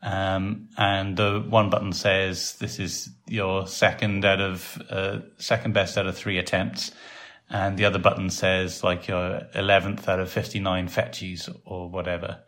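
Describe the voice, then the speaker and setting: Deep-voiced Welsh man, rambling chat. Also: a little slow. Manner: relaxed and rambling, with many fillers. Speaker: podcast host and site developer. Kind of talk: casual podcast chat.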